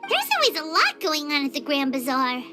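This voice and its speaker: A woman voicing a tiny, excitable childlike fairy companion in a game, with a chirpy, squeaky delivery. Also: a little fast, over loud music. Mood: matter-of-fact. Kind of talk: game character acting.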